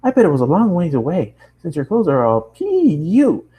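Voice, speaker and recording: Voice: mid-range voice, very animated, moderate pace, dark tone, husky, voice pushed up. A narrator voicing a bubbly, hyperactive young female pony baker who gushes and chatters nonstop in a cartoon story. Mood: excited, chattering. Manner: gushing, squealing, breathless rambling chatter.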